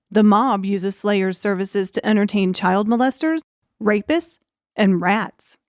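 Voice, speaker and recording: A woman's voice: mid-range voice, a little mellow, dry recording, clean studio sound. A woman reading scripted narration as the narrator of an audiobook.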